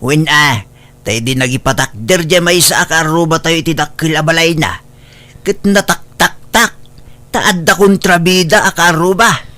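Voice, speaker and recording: A man's voice: fairly high pitch, a little slow, pushed and bright. A man playing a fretful, complaining husband with an Ilocano accent in a comedy radio drama. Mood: worried. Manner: complaining, questioning. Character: fretful husband worried about a troublesome neighbour.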